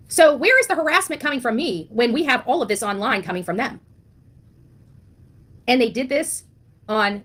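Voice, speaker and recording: A woman with a somewhat high voice gives impassioned online commentary. She is blunt and indignant, driving her points home with rhetorical questions and repeated phrases. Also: fairly bright tone. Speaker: online commentator on a missing-child case.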